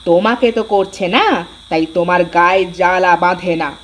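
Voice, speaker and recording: A woman's voice: lowish voice, a little fast, slight background noise, close to an everyday voice. A woman as a petulant, resentful wife. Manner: petulant, insistent. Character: resentful, irritable wife demanding to split the household. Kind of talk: cartoon story dubbing.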